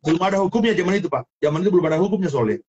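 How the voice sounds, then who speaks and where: A man's voice: high voice, expressive, a little mellow, muffled recording, a little hiss or hum. A middle-aged man with a strong Sulawesi accent, telling his life story as an interview guest, often repeating and restarting his words. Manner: repetitive, halting, many restarts. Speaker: guest, former film actor turned minister. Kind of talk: testimony interview.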